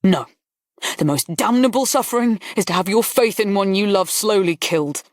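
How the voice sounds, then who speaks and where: A woman voicing a grave army colonel who speaks quietly and with restraint. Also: medium pitch, animated, normal speed, no room sound, clean studio sound, natural voice. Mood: bitter.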